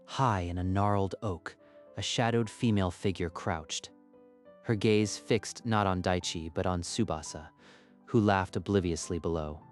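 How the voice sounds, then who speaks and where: A man's voice: low voice, steady tone, unhurried, faint music behind. A man narrating a scripted story in a dramatic style.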